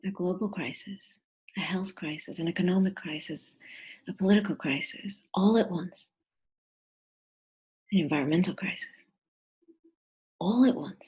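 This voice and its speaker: Husky, low-voiced woman guiding a meditation. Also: moderate pace, pauses often, a little mellow, low-quality recording. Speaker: meditation guide. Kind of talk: guided meditation, spoken slowly with pauses.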